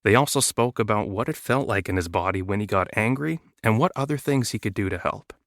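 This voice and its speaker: A man's voice: on the low side, lively intonation, fairly quick, a bit bright, dry recording. Close, dry-sounding man reading a children's story. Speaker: guest storyteller, voice actor.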